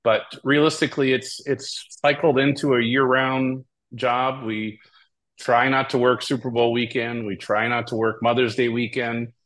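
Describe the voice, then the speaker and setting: A man's voice: mid-range voice, flat delivery, normal speed, fairly bright tone. A middle-aged man from Hawaii talking casually as an interview guest, telling stories. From Hawaii, United States. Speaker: podcast guest, tournament director. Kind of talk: casual interview talk, storytelling.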